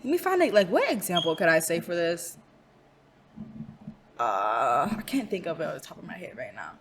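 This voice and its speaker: A woman's voice: fairly low pitch, very animated, normal speed, a bit bright, slight background noise. A young woman hosting a reaction video, talking casually and sounding exasperated, often laughing. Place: cold room at home.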